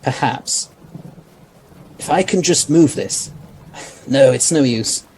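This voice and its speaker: A man playing a brisk, authoritative scientist adventurer in an audio drama. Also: mid-range voice, a little mellow, a little hiss or hum, low-quality recording. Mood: grave, focused.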